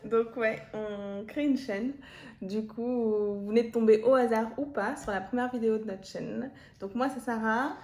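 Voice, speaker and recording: A woman's voice: fairly high pitch, fairly even intonation, normal speed, darkish tone. A woman, chatting casually and playfully as she hosts a couple's Q&A vlog. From Marseille, France. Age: 20s. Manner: chatty, giggly, teasing. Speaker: vlog host, half of a couple channel. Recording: echoey room.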